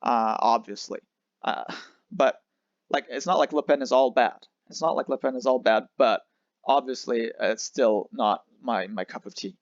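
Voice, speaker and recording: A young man with a Geneva Swiss accent in French rambles through a casual livestream, drifting between French and English and restarting his sentences often. His voice sits at an ordinary pitch. The recording is dry and a little dull and lo-fi. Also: moderate pace, no echo. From France near Switzerland. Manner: rambling, restarts, switches language. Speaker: livestream host.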